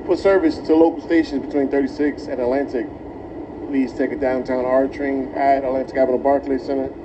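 A man's voice: mid-range voice, level tone, brisk. Guy conductor on the subway pa, far from mic, noisy car, telling riders about service changes. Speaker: train conductor. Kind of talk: live service-change announcement. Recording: distant muffled train PA, loud noise. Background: subway car noise.

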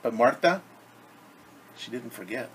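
A man's voice: mid-range voice, animated, measured pace. A middle-aged man reading a story aloud to children as a teacher, expressively, with simple explanations, recorded in a living room at arm's length from the camera. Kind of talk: read-aloud storytelling with asides explaining words. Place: indoors in a home living room. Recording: clear background room noise.

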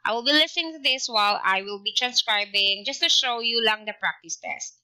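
A Filipino woman in her thirties talks casually with lots of fillers. Her voice is very bright and a bit high. She records at home on a laptop with a headset. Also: a little fast, low-quality recording. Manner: chatty, with frequent fillers. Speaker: vlogger. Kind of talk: casual tutorial with Taglish code-switching. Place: small indoor room.

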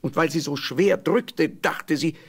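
A man's voice: on the high side, a little slow, husky. A man narrating a scripted fairy tale as the storyteller of an audio play.

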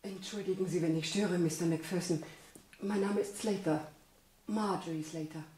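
An actress playing a nervous, earnest young client in a radio crime drama, speaking with a husky voice. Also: female voice, on the low side, fairly even intonation, normal speed, darkish tone, a little hiss or hum, lightly acted. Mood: nervous, hesitant. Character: nervous, earnest young woman seeking detective's help.

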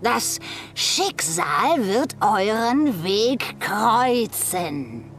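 A woman's voice: mid-range voice, big pitch swings, extremely slow, exaggerated voice. A woman playing a solemn female seer in a game cutscene, speaking in portentous prophecy. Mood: solemn, prophetic. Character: solemn female fortune-teller foretelling great deeds. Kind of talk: dramatic game cutscene acting. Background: loud game music.

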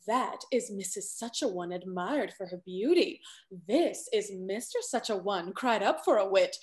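A woman's voice: mid-range voice, expressive, measured pace, close to an everyday voice. A young woman playing a scheming, falsely sweet genteel confidante in a Restoration comedy, with an affected, gossipy manner. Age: young adult. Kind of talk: theatrical period stage acting over video call. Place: indoor room at home, on video call.

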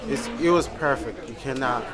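A man from South Sudan, an audience member, answering a short interview in halting, plain phrases in a busy theater lobby. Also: medium pitch. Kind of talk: casual interview. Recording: loud crowd chatter behind.

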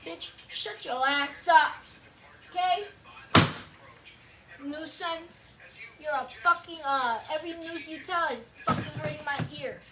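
Young woman, high voice, slow angry rant, profane and restless, recorded on a distant phone in a noisy bedroom. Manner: profane, confrontational, restless, moves around while talking. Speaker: vlogger. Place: small cluttered bedroom, indoors. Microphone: phone camera, often far away. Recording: distant phone audio with clear background noise.

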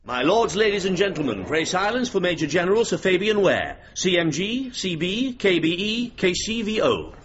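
An actor playing a pompous, ceremonious toastmaster making a formal announcement in a radio drama. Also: male voice, sits high, sing-song, normal speed, few pauses. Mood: proclaiming. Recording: dull, noisy radio recording.

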